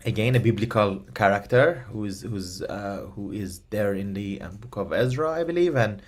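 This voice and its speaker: A man from Iran with a fairly low voice, speaking as a guest expert. His first language is Persian, and he hesitates often, with many ums and restarted phrases. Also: animated, moderate pace. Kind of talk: explanatory interview answers.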